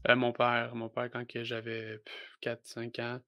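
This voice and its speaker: Young guy, Québécois accent, podcast guest; stop-start delivery, monotone, dry. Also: mid-range voice, a little slow, gravelly, dry recording. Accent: Québécois French. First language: French. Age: young adult. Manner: hesitant, many restarts and fillers. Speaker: wrestler guest. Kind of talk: casual podcast interview.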